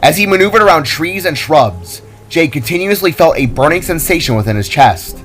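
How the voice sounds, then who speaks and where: A man's voice: on the high side, sing-song, a little fast. Man narrating a dramatic story over music. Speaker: author and narrator. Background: soft music.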